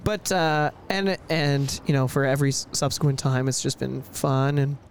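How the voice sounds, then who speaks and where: A man chatting on a casual podcast with friends, joking and halting with restarts. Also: mid-range voice, fairly even intonation, a little hiss or hum. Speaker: podcast co-host.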